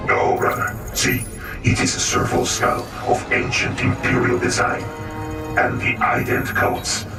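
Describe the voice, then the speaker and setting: A man's voice: unhurried, music in the background, close to an everyday voice. A man playing a grim, zealous space marine warrior in a video game cutscene, speaking in solemn, archaic military phrases. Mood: wary, grave. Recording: echoey, distant, over game soundtrack.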